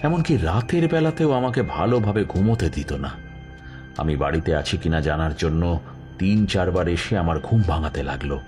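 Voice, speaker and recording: A man playing an aging, proud bandit chief in an audio story, confessing in measured, deliberate phrases with dramatic pauses. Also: a bass voice. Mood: weary, recounting.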